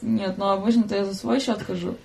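A woman's voice: mid-range voice, steady tone, a little fast, creaky, a little mellow, a little hiss or hum. A young woman chatting casually as a podcast guest, blunt, slangy and joking. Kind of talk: casual chat.

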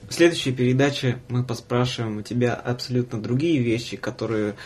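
A man's voice: mid-range voice, a little fast, darkish tone. A young man hosting a casual podcast, telling stories in an informal, slangy way. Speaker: podcast host.

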